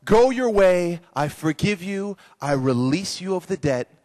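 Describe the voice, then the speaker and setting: A man voicing a pompous, regal storybook king with a booming, drawn-out voice. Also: lively intonation, a little mellow, in a large hall. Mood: relenting. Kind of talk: acted-out storytelling.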